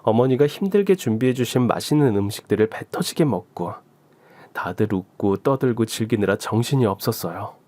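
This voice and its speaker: Man narrating a story, animated. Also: fairly low pitch, fairly quick, no echo. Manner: first-person storytelling as the wife. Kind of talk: scripted radio story narration.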